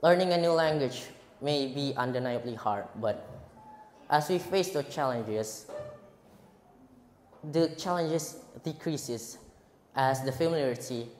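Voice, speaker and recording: A teenage boy from Indonesia with an Indonesian accent, giving a prepared speech at a school contest, earnest and halting, often restarting words. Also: medium pitch, a little mellow, a little hiss or hum. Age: teens. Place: large echoey hall. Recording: roomy hall with long reverb.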